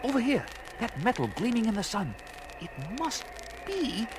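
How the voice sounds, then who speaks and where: An actor playing an excitable, learned and fretful talking chimpanzee with fussy scholarly wording in a 1970s record drama. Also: male voice, extremely high pitch, moderate pace, very noisy. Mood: alarmed. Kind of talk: 1970s children's record audio drama acting.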